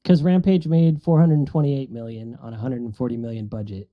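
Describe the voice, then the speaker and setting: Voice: mid-range voice, steady tone, brisk, phone-quality sound. A podcast co-host talking casually in a group chat.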